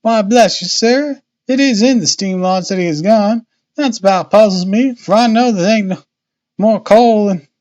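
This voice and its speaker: A man's voice: high-pitched, darkish tone, lo-fi sound, pitched above the performer's own voice, natural voice. A man voicing a harried, talkative working-class Victorian woman from a storybook, anxious and exclamatory in manner. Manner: chattering, exclamatory, colloquial.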